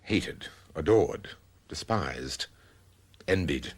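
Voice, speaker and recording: Casting: sombre gentleman escort, very low voice, grave and measured, old-style radio play. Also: expressive, husky, full character voice. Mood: reflective. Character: sombre, suave male escort of an older socialite. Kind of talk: golden-age style radio drama acting.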